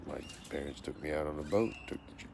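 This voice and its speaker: Man, 40s, deep voice. Reads questions and answers them matter-of-factly. Casual self-interview, noisy recording. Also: fast talker, vocal fry. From Texas, USA. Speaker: vlogger answering a question tag.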